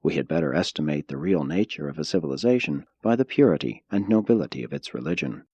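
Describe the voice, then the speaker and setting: A man with a deep voice reads formal prose as an audiobook narrator. His delivery is measured and solemn, yet his pitch moves widely through each phrase. The sound is dry and close, with very little room around it. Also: animated, mellow, slightly rough, no room sound, highs cut off.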